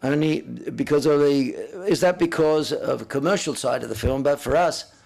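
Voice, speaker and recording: A man interpreting live at a press conference, speaking haltingly and often correcting himself as he renders others' words in first person. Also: a little high, vocal fry, darkish tone.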